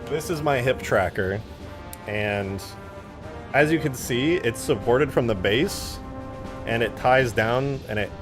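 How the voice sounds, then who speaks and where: A man's voice: medium pitch, slow pace, music in the background. A man livestreaming as a game modder, chatting casually in a rambling, jokey way as he answers viewers. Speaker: live streamer and game modder.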